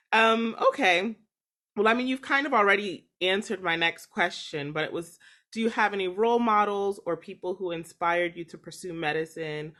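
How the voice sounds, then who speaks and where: A woman's voice: fairly low pitch, a bit bright. A young woman hosting a podcast, upbeat and chatty, laughing easily. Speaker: podcast host, junior doctor.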